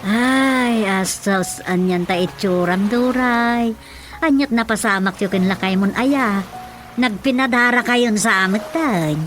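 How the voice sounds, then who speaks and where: A woman's voice: mid-range voice, slow pace, fairly bright tone, slight background noise. A woman playing a nosy, sympathetic village woman who exclaims often, in an Ilocano radio comedy drama. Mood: curious, sympathetic. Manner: exclamatory, full of interjections.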